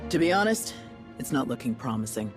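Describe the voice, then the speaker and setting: A man's voice: medium pitch, sing-song, fairly quick, close to an everyday voice. A man playing a frank, discouraged recovery team member in a video game. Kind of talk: video game dialogue acting. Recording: music behind the voice.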